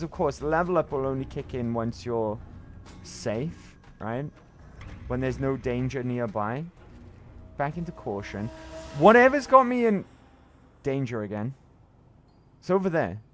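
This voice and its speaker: Male game streamer, croaky, fairly high and very animated, casual running chat with viewers. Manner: chatty, jumpy exclamations, greets viewers. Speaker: livestreamer. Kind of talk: casual gameplay livestream chat.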